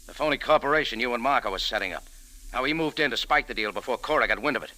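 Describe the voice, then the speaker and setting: A man's voice: mid-range voice, lively intonation, very fast, pushed and bright, rough recording. A man with an American accent playing a tough, sardonic café owner and sleuth in an old-time radio drama, clipped and hard-boiled. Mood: hard, accusing. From United States. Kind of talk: old-time radio detective narration and acting. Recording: old radio drama, faint music behind.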